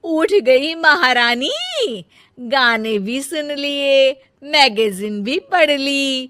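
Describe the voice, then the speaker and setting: A woman voicing a hardworking elder sister-in-law in a cartoon story, with an emphatic, sharp-tongued manner. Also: high voice, sing-song, drawn-out words, no echo, studio quality, exaggerated voice. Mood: indignant, scolding.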